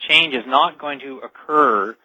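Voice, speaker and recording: Male phone-in expert guest, forceful bright tone, earnest delivery, muffled line. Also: medium pitch, measured pace, husky, no room sound. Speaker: interview guest, author. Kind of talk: explanatory radio interview by phone. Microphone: telephone. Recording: dull, band-limited telephone line.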